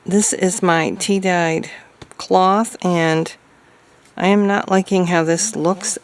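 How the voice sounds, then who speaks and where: A woman chatting casually as she crafts, thinking aloud hesitantly in a hoarse, gravelly voice. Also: lowish voice, no echo. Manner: hesitant, musing to self. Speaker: craft video maker.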